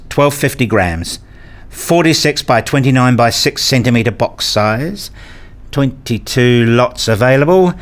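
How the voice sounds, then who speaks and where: A man acting as a brooding husband and father in a radio play. He is pedantic and fixed on rules, with a middling pitch and an introspective way of telling his own story. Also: natural voice. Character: brooding, rule-obsessed husband and father narrating his life. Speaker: narrator and lead character. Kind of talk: first-person radio play narration and dialogue.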